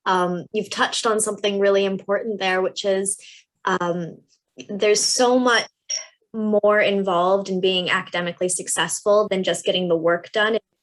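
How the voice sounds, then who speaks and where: A woman's voice: medium pitch, steady tone, normal speed. A woman moderating a student panel, friendly and apologetic, with many ums. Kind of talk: panel discussion.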